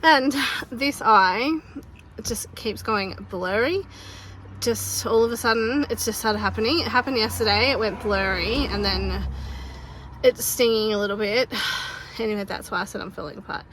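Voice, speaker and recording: A woman, chatting casually to her phone camera in a family vlog, her voice a little croaky. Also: medium pitch, normal speed, a bit bright, low-quality recording. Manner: chatty, laughs between sentences. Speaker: family vlogger. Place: outdoors by a busy road. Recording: clear traffic noise outdoors.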